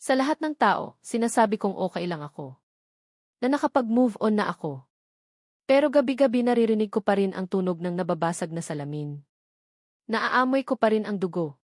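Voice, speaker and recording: A woman voicing a brooding, wounded young male billionaire in an audio romance, serious and soft-spoken. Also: mid-range voice, lively intonation, moderate pace, no echo, studio quality, lightly acted. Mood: pained, confiding.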